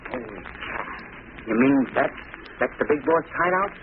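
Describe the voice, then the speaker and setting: An actor playing an easily spooked American gunman in 1930s radio drama, excitable, with slangy, uneducated crook talk. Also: medium pitch, moderate pace, natural voice. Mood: nervous. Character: jittery superstitious male gangster gunman, slangy and easily spooked. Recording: tinny, noisy 1930s radio recording.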